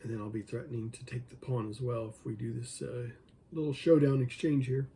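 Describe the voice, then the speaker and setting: A man who is losing his voice, hoarse, thinking aloud over an online chess game and joking with himself as he plays. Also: medium pitch, fairly even intonation, brisk, warm tone, a little hiss or hum. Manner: mutters his thoughts, talks to himself, jokes self-deprecatingly. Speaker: chess video creator.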